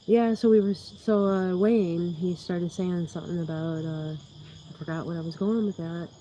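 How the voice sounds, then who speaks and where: A woman's voice: lowish voice, fairly even intonation, normal speed, mellow, slight background noise, low-quality recording. A woman hosting a livestream in casual, rambling chat, with a soothing voice, laughing often and losing her train of thought. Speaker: YouTube livestream host.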